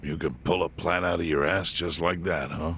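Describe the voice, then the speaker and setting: A grave older crime clan boss with an extremely low voice. He speaks deliberately and calmly, in the manner of a video game drama, with faint noise behind him. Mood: dry, steady. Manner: measured, deliberate, solemn. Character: grave, calm older yakuza clan chairman. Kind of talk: video game cutscene dub acting.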